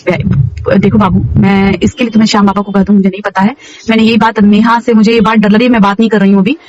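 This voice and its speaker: A woman's voice: mid-range voice, level tone, fast talker, darkish tone, recorded from a distance, lo-fi sound, slight background noise. A woman in a heated, informal phone conversation, speaking emphatically and repeating words insistently, on a call recording.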